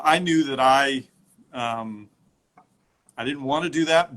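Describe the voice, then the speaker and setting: Halting middle-aged man on a phone livestream. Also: medium pitch, bright, forceful tone. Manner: halting, many fillers and restarts. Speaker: host telling his health story. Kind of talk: casual livestream talk. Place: home.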